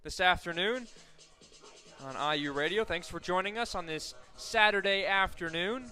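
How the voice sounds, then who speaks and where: An American man calling live softball play-by-play as a radio announcer, conversational and ready to lift with the action. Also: high voice, normal speed, faint music behind. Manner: conversational between plays, excited calls on action.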